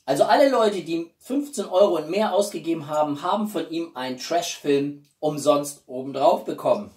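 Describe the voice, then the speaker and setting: A man's voice: on the high side, normal speed, darkish tone. Roomy-sounding man in his forties, chatting. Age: 40s. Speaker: YouTube host. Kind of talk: casual chat to viewers. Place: small living room indoors. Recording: roomy echo, distant mic.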